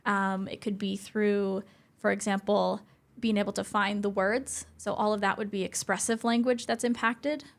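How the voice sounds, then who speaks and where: A woman's voice: mid-range voice, flat delivery, moderate pace, vocal fry. A young adult woman, speaking as an interview guest and explaining things earnestly, step by step. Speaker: interview guest, communication support specialist.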